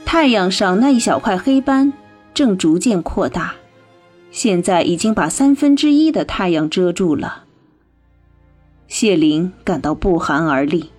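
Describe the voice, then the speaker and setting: A woman reading scripted narration for a radio drama in an expressive storytelling manner. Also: fairly low pitch, measured pace, husky, faint music behind.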